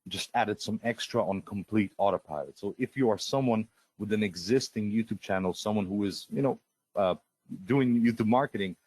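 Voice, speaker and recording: A man with a somewhat low voice, his first language Russian, runs a live webinar and sells a piece of software. He talks eagerly and stumbles, repeating words and adding "uh" as he clicks through screens. The sound is close and dry, with no room echo. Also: moderate pace. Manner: eager salesy pitch, many fillers and restarts. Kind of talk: live sales webinar, screen-share walkthrough.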